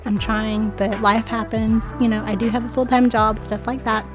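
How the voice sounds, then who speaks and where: A woman's voice: fairly high pitch, level tone, moderate pace, over music. Chatty woman with a stuffy nose. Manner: chatty and friendly, talks straight to viewers. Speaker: home decor vlogger. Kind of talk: voice-over narration. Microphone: voice-over mic.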